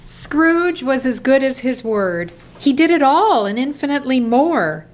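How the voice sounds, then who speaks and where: A woman's voice: high voice, sing-song, a little slow. A woman narrating a classic story as scripted storybook narration for a radio play.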